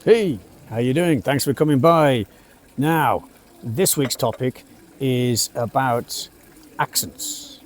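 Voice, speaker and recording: A middle-aged British man from the West Midlands, now with a neutral British accent, teaching English in a relaxed, chatty way, recorded on an outdoor café terrace. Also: medium pitch, slow. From England, West Midlands. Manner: relaxed, chatty, with fillers and asides. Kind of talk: casual spoken lesson to camera.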